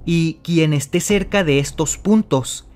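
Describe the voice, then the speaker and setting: A man's voice: a little high, slow, a little mellow, soft background music. A man narrating a mystery video from a script, speaking straight to the viewer in a dramatic tone. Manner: dramatic, addresses the viewer directly. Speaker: channel host narrator. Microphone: voice-over.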